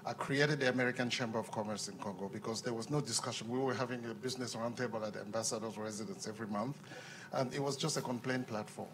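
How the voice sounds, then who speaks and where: Man, audience speaker, mid pitch, earnest with restarts, faint room hiss. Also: fast, fairly bright tone. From Cameroon. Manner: earnest, repeats words when restarting. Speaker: audience member at a panel Q&A. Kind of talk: impassioned floor comment. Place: conference room. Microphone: handheld microphone.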